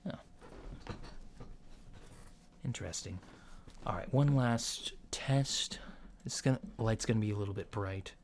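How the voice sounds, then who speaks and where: A man playing a casual, reassuring alien doctor in a soft ASMR roleplay, speaking in a mild, hesitant, matter-of-fact way. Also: on the low side, measured pace, long pauses. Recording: lo-fi with clear background noise.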